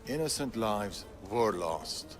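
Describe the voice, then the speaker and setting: A man's voice: fairly low pitch, expressive, takes it slowly. An actor playing a wary, secretive older Persian father and fighter in a video game, guarded and solemn. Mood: urgent, guarded. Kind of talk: dramatic video game acting. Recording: background music under the voice.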